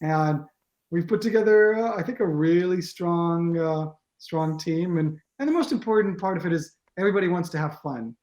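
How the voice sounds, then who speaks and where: A man's voice: on the high side, moderate pace, a little mellow, lo-fi sound. Chatty American man in an echoey room. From Israel. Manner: chatty, rambling, frequent fillers and restarts. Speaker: guest, team captain. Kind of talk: casual video-call interview.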